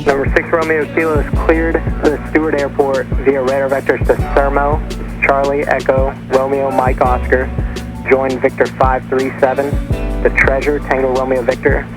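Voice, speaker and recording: A man's voice: mid-range voice, little pitch movement, moderate pace, over music, low-quality recording. A man giving procedural instructions as an air traffic controller over aviation radio.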